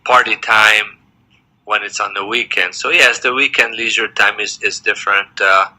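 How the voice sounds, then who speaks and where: A man's voice: on the low side, fairly even intonation, normal speed, sharp tone, low-quality recording. A man in his sixties who grew up in Hungary, answering interview questions in a thoughtful, hesitant way with many fillers and restarts. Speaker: interviewee, semi-retired dentist.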